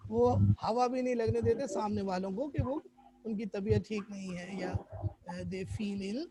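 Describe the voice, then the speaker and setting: Hoarse guy, really high voice, teaching chem online, keeps repeating stuff and quizzing the class. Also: steady tone, measured pace, crowd noise. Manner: repetitive, quizzing students, switching into English definitions. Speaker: chemistry teacher.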